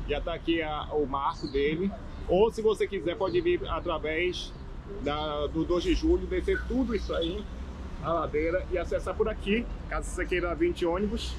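A man's voice: on the high side, noisy background. A young man from Salvador with a Bahian accent, chatting casually as he walks viewers around an outdoor neighborhood, pointing things out as he goes. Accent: Bahian Brazilian Portuguese. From Salvador, Bahia, Brazil. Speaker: travel vlogger. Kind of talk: casual walking-tour vlog. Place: outdoors on a seaside hillside street.

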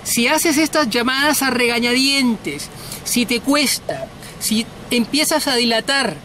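A man's voice: extremely high pitch, animated, unhurried, bright, forceful tone, a little hiss or hum. A middle-aged man giving an informal sales lesson to camera from inside a car, bluntly and emphatically, repeating words for stress. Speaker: sales trainer, host.

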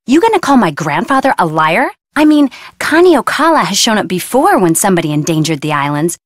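Casting: a young surfer girl for a video game. Blunt, breezy, slangy delivery with lively swings in pitch, recorded dry. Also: brisk, no echo. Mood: insistent, blunt. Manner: casual, slangy, flippant. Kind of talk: video game voice acting, casual slangy dialogue.

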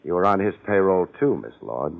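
A hard-boiled radio detective of the 1950s. His voice is deep and husky, with terse, sardonic delivery. The vintage recording is muffled. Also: sing-song, brisk, full character voice. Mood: probing, sardonic. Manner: sardonic, terse, clipped sentences. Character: hard-boiled wisecracking male private detective. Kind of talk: 1950s radio detective drama acting and narration.

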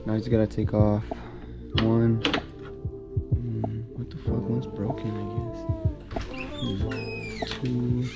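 Hoarse young man vlogging over loud beats. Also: on the low side, little pitch movement, slow pace, pauses often. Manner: loose, chatty, mumbles while working. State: losing his voice, sick. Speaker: car project vlogger. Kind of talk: casual self-filmed vlog, swearing. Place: inside a parked car, then a home garage. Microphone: action camera. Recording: loud background music over camera audio. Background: background beat music.

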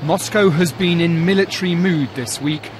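A man reporting from abroad as a news correspondent, in a measured, formal delivery. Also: a little high, background noise.

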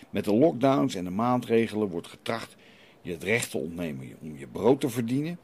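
Deep, stern renegade priest played by a man. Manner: challenging, preachy, rhetorical questioning. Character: stern, defiant renegade priest hearing confession. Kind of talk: radio play dialogue. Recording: light background noise.

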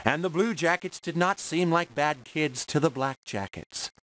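A man narrating a children's story from a script in a measured read-aloud manner for young listeners. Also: fairly high pitch, a bit bright, no echo, low-quality recording.

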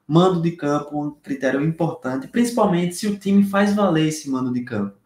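A man's voice: fairly high pitch, expressive, normal speed, darkish tone. A young Brazilian man hosting a casual sports-betting tips video from a small home room, chatty and upbeat, talking straight to his viewers. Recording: echoey room sound.

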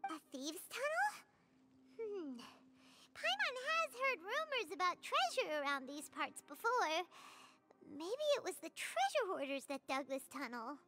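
A squeaky tiny fairy girl. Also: sing-song, a little slow, background noise, exaggerated voice. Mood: curious. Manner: refers to herself in third person, bright and eager. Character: tiny chatty floating fairy companion, girl. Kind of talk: video game voice acting. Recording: game audio with soft music behind.

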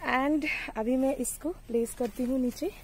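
Young woman doing a garden tour vlog, mellow higher voice, chatty, phone mic outdoors, faint background hiss. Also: unhurried, low-quality recording. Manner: chatty, addresses viewers as friends, many fillers. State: hot and bothered by sun and mosquitoes. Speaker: vlogger. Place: outdoor vegetable garden in bright sun. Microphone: handheld phone camera.

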